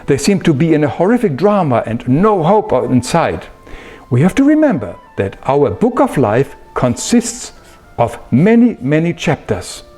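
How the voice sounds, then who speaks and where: A man giving an explanatory spiritual talk in an earnest, emphatic manner with measured phrasing. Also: on the high side, big pitch swings, darkish tone, soft background music. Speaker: spiritual teacher.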